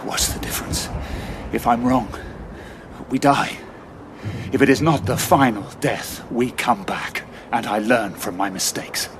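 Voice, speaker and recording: A man's voice: mid-range voice, a little slow, lightly acted. A stoic, masked commander, played by a man who talks in blunt, clipped lines. Heavy war noise sits behind him in the audio drama.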